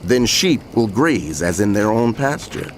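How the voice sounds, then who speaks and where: A man's voice: lowish voice, sing-song, a little slow, slight background noise. A man playing a solemn prophet who proclaims woe in an emphatic, declamatory way in dramatized scripture narration. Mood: grave warning.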